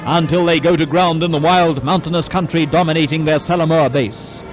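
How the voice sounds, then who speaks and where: Dramatic 1940s newsreel man, high-pitched. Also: a little fast, rough recording. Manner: dramatic, clipped, declamatory. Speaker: newsreel narrator. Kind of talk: 1940s wartime newsreel narration, scripted. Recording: 1940s newsreel soundtrack, music behind. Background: orchestral newsreel music.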